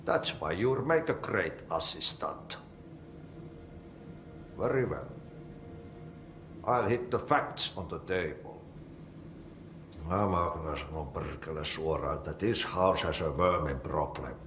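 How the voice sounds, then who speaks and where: An actor playing an odd, cheerful janitor with a Finnish accent who mangles idioms and mutters curses. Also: male voice, a bass voice, slow, pauses often, echoey room, noisy background. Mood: rambling.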